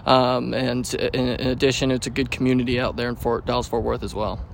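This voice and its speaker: A man's voice: mid-range voice, a little fast, gravelly, a little hiss or hum. Young man rambling through fillers. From United States. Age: 20s. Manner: relaxed, rambling with fillers and restarts. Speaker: baseball pitcher. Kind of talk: pregame interview.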